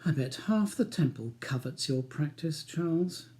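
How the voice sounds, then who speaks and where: A man's voice: fairly high pitch, animated, measured pace, mellow. Man voicing a scornful, polished upper-class wife. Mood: disdainful. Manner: beautifully spoken, cutting. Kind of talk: audiobook character dialogue.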